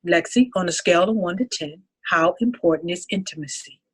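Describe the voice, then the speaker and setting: A woman playing a composed, patient couples counselor in a dramatic table read, talking in a measured, instructive way. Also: on the low side, a bit bright, slightly rough, poor audio. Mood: calm, instructive.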